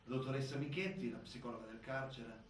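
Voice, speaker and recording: Actor as male expert examiner, measured testimony, distant, strong echo. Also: mid-range voice, steady tone, lightly acted. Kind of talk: documentary theatre testimony. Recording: very echoey hall, distant, faint noise.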